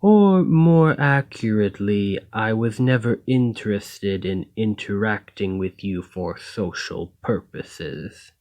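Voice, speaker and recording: Man playing a very slow-spoken, coldly logical golem. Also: lowish voice, a little mellow. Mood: detached, curious. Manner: formal, measured, detached phrasing. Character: escaped male golem, coldly logical, polite, self-serving captor. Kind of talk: ASMR roleplay acting.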